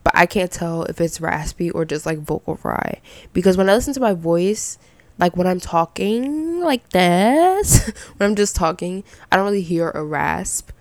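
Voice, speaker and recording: A young woman with a slightly raspy voice and some vocal fry at sentence ends, chatting casually and giving her opinions as a podcast host. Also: lowish voice, expressive, moderate pace, darkish tone, dry recording. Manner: informal, rambling, opinionated. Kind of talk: casual chatty solo commentary.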